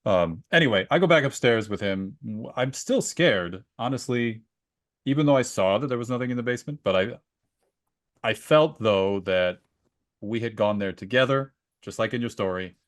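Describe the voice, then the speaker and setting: A man's voice: medium pitch, animated, moderate pace, dry recording, studio quality. A man co-hosting a podcast in casual conversation, telling stories and acting out bits of remembered dialogue. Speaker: podcast co-host and story reader. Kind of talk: casual book discussion with personal anecdotes.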